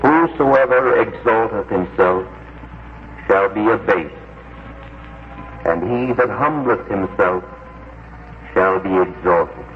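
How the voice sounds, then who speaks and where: A thin, narrow old radio sound with faint music frames a solemn holy man. He intones scripture with great authority, very slowly and deliberately. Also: lowish voice. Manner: slow, measured, scriptural. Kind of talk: 1940s radio drama acting.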